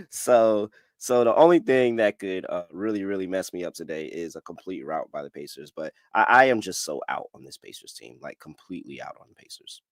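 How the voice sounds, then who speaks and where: A man with a fairly low voice hosts a sports betting podcast. His delivery is casual and slangy, like talk between friends. The sound is very dry, with no room in it. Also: big pitch swings, moderate pace, dry recording. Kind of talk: casual chat, ad reads and betting picks.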